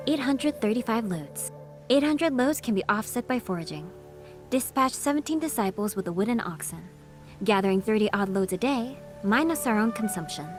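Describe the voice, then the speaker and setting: A woman's voice: fairly high pitch, natural voice. An actress playing a stoic young female grandmaster in a video game, terse and matter-of-fact, reciting with precision. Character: young female grandmaster, stoic, literal-minded master of numbers. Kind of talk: dramatic video game voice acting.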